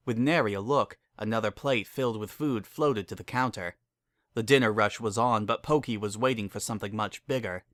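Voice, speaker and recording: A man's voice: fairly low pitch, a bit bright, no echo, clean studio sound. A man narrating a story as a scripted dramatic reading.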